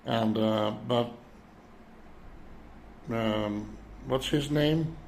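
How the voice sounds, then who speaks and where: Slow, halting man in his seventies. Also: medium pitch, vocal fry, darkish tone, a little hiss or hum. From Europe. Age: 70s. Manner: halting, searching for words. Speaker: citizen vlogger addressing world leaders. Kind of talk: unscripted reflective monologue.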